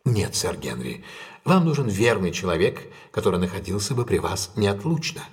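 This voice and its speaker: An actor playing a composed, decisive gentleman detective in an audio drama, speaking with measured, courteous authority. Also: fairly low pitch, very animated.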